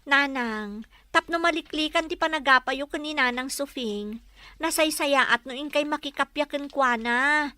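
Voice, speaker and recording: Earnest, very high-voiced daughter. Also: female voice, unhurried, sharp tone, no room sound. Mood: earnest pleading. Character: earnest married daughter, pleading and gently reproachful. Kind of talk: Ilocano radio drama acting.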